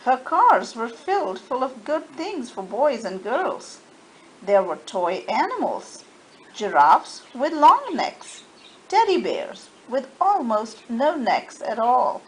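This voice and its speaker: A woman reading a storybook aloud to children with expressive narration. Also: on the high side, slow pace, low-quality recording. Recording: faint background noise.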